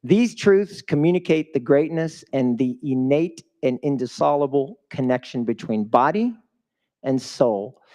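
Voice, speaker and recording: A man speaks live to an audience as a guest speaker at a charity event. His delivery is emphatic and motivational, with questions put directly to the listeners. His voice sits at a medium pitch. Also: expressive, measured pace, warm tone. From a developing country.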